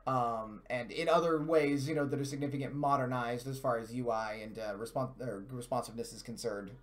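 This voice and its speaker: A man's voice: mid-range voice, a little fast. A man hosting a live stream with casual gaming commentary, talking in a chatty, self-deprecating and mildly sweary way.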